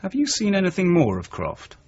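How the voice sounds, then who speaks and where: An actor playing an earnest, steady detective sergeant in a radio crime drama, measured and questioning in manner. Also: male voice, high-pitched, slightly rough, exaggerated voice. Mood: kindly. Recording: muffled 1980s radio drama recording.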